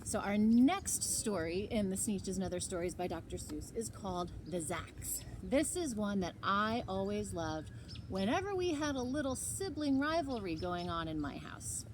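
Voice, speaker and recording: A woman reading aloud to children as a storyteller, expressive and emphatic, on a noisy home recording. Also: medium pitch, normal speed. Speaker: library storyteller. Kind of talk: animated children's read-aloud.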